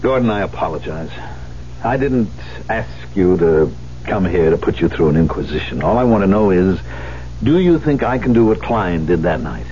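An actor playing a proud, embittered concert pianist in a 1970s radio drama, with a theatrical, emphatic delivery. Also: male voice, low voice, big pitch swings, moderate pace, mellow. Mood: contrite, earnest. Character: proud, embittered concert pianist who lost his hand. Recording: muffled, hissy old radio broadcast.

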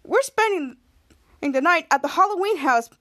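A woman's voice: extremely high pitch, animated, normal speed, fairly bright tone, no room sound, clean studio sound. A woman voicing a flippant, self-satisfied father in a breezy, emphatic way as she retells a story. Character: flippant, self-satisfied dad brushing off his son.

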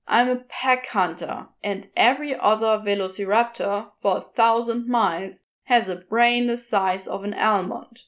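A woman's voice: mid-range voice, steady tone, a little slow, hardly any pauses, natural voice. A woman voicing a grumbling, sardonic male demon in a velociraptor's body, rambling and exasperated, in a storybook reading. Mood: incredulous, ranting. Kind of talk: storybook character voice in audio fiction.

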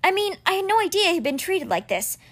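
A woman voicing an overwhelmed teenage boy, talking high and strained. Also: very animated, brisk, a bit bright, heavily acted. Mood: anxious.

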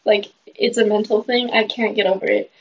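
Breathy, chatty teenage girl, lo-fi. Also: mid-range voice, fairly even intonation, a little fast. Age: teens. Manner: chatty, heavy use of "like", trails off softly. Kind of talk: casual chat. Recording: dull lo-fi sound.

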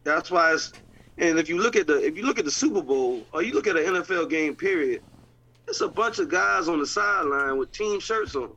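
Excited football coach. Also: male voice, on the high side, a little fast. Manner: excited, repetitive, informal phrasing. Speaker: head coach and team owner. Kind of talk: casual chat. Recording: dull lo-fi sound.

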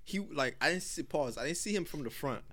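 Animated young man telling stories. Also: on the high side, a little fast. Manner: loose storytelling with big laughing fits. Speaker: podcast co-host. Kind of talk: casual chat.

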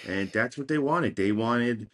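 A man's voice: mid-range voice, unhurried, a bit bright. A man with a middle-range voice co-hosts a sports podcast. His banter is casual and punchy, built on slogan-like phrases that he likes to repeat. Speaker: podcast co-host.

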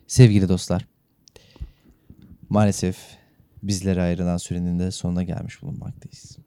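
A man with a low, very mellow voice co-hosts a chatty podcast. His phrasing is courteous and playfully formal, like a radio presenter's. The recording is close and dry. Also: level tone, moderate pace, clear, resonant tone, no room sound. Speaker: podcast co-host. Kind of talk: casual radio-style chat and sign-off.

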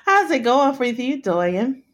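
A woman hosting a casual podcast, laughing often, with a slightly hoarse throat. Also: high-pitched, very animated, slow. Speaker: podcast host. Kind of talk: casual chat.

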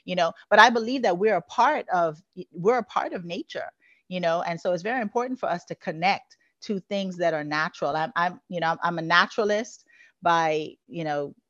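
Woman from the Bahamas, coach guest; lowish voice, muffled dry recording; earnest, rambling with fillers. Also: moderate pace, a bit bright, no room sound. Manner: earnest, flowing, many "you know" fillers. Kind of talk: interview answers.

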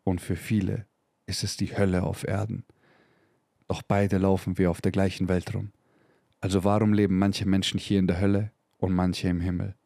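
Low-voiced man giving a fiery motivational talk. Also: vocal fry, dark tone, no echo, studio quality. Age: 30s. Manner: emphatic and profane, mixing in English slang. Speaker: podcast host. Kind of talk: motivational podcast monologue.

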